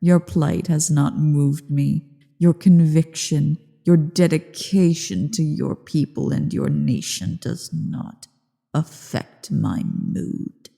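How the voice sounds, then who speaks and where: A woman voicing an ancient evil female dragon in a slow ASMR roleplay monologue, haughty, bored and menacing, with a low, husky, creaky delivery. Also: lively intonation, dark tone, heavily acted. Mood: bored, cold. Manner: haughty, disdainful, deliberate. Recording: long cavernous reverb.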